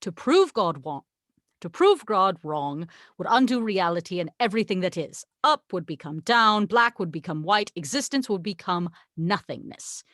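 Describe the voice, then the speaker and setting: Casting: haughty angel played by a woman, lower-pitched, very animated, condescending and wry, table-read style. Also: moderate pace, no echo, studio quality. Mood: grave, insistent. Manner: exasperated, condescending, wry storytelling. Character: haughty, sarcastic tequila-loving angel, the voice of God. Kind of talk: table read of a film script.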